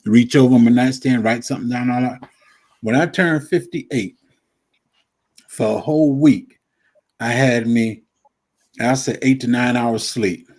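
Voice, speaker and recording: A man in his fifties, a guest on a live stream, telling stories in a relaxed way and often repeating himself. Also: mid-range voice, a little slow, pauses often. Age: 50s. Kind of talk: casual chat.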